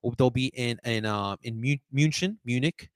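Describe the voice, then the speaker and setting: A man's voice: medium pitch, normal speed, no room sound, studio quality. A man with a light Latin American Spanish accent hosting a casual live sports talk show, rambling, repeating words and reading out viewer comments. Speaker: sports podcast host reading live chat.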